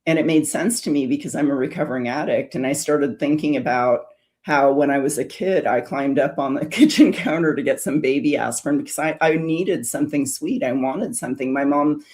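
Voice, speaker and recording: A woman's voice: sits low, fairly quick, a little mellow. A woman speaking as an interview guest on a video call, earnestly telling a long story and often restarting her sentences. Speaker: guest, food addiction recovery specialist.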